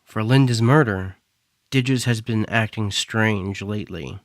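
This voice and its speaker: A man's voice: fairly low pitch, unhurried, no room sound, clean studio sound, natural voice. A man playing Castor, a brooding young cyborg. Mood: worried. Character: Castor, anxious young male cyborg performer. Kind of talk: audio drama acting.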